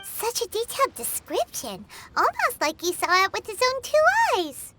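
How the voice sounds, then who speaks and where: A woman voicing a tiny, cheeky fairy companion who is blunt and quick to interrupt, in a game dub. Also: extremely high pitch, big pitch swings, heavy background noise. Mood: suspicious. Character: tiny chirpy floating fairy companion girl. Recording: game score under the voice.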